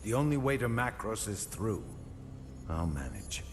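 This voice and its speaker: Low-voiced, resolute knight commander. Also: male voice, big pitch swings, unhurried, noisy background. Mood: grim, resolute. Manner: terse, resolute delivery. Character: Orin, determined stoic male armored knight commander. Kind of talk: dramatic fantasy video game acting.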